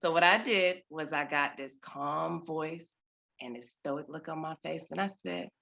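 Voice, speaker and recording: A woman in her thirties tells a personal story over a video call, taking her time with each phrase. Her voice is bright and forward, and she swings from near whispers to loud, dramatic bursts. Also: sits low, a little slow, gravelly, lo-fi sound. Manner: expressive, dramatic storytelling with big swings in volume.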